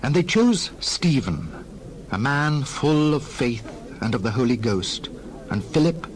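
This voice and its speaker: A man narrating dramatized scripture in a formal, expressive storytelling manner. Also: mid-range voice, a little slow.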